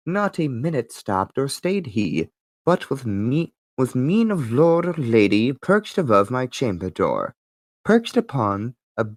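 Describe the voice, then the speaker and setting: A man's voice: mid-range voice, no echo, clean studio sound. A man giving a theatrical, emphatic dramatic recitation of poetry as an amateur reader. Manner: theatrical, with big emphatic swings.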